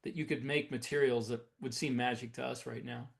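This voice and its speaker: A man's voice: medium pitch, fairly even intonation, fairly quick, vocal fry. A man speaking as a podcast co-host in casual, enthusiastic, explanatory hobbyist chat. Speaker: podcast co-host, science fiction author. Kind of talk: casual hobbyist chat about sci-fi weapons.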